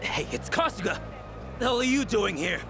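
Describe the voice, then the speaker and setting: An actor playing a cocky, jeering thug with a gravelly voice in a video game cutscene. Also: male voice, high voice, lively intonation, normal speed. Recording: music under the voice.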